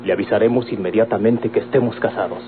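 Firm, low-pitched Mexican son, played by a man. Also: fairly even intonation, quick pace, close to an everyday voice. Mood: earnest, resolute. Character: firm, defiant grown son in love. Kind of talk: old-time Mexican radio drama acting. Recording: old tinny radio recording, noisy hum.

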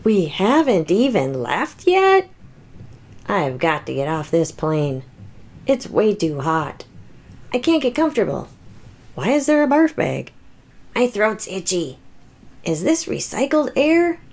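A woman voicing an anxious, boastful but loving little toy T-rex in a picture book, with exaggerated, expressive delivery. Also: mid-range voice, takes it slowly, long pauses, exaggerated voice. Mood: panicky, complaining. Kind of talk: children's picture book read-aloud.

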